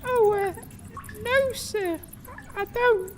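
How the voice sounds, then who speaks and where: A loyal, simple-minded little squire for a comedy sketch. The voice is extremely high and slow, halting and meekly deferential, recorded with clear noise behind it. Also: male voice, exaggerated voice. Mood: puzzled, timid. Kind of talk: comedy sketch character acting.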